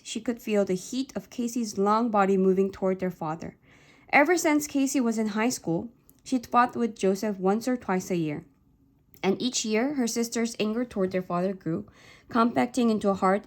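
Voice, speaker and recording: A woman's voice: mid-range voice, darkish tone. Woman reading a novel aloud. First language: Korean. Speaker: reader.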